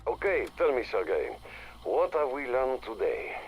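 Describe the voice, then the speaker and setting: Gravelly, taunting crime boss. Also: male voice, medium pitch, sing-song, a little slow, muffled recording. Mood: menacing. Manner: taunting, tags questions with "huh". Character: menacing, sardonic crime boss and hired enforcer. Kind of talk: dramatic dialogue in a game audio log.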